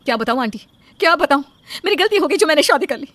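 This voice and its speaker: Woman doing young wife, cartoon story, high loud voice, ranting, quick, aggrieved. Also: lively intonation, no echo. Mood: exasperated. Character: exasperated young married woman, loudly aggrieved. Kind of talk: animated cartoon story acting.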